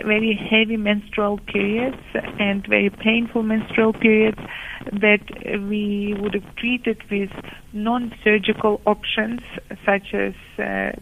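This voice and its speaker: A woman's voice: mid-range voice, flat delivery, creaky, a little hiss or hum. Ukrainian-accented woman on a phone line. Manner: measured, explanatory, with frequent hesitations. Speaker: gynecologist guest. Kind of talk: radio phone-in interview. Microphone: telephone.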